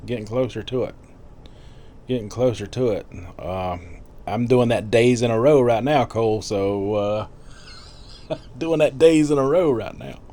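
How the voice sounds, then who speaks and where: A man's voice: medium pitch, measured pace, long pauses, warm tone. A man in his fifties chatting casually in a rambling vlog, full of fillers and little laughs. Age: 50s. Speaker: vlogger.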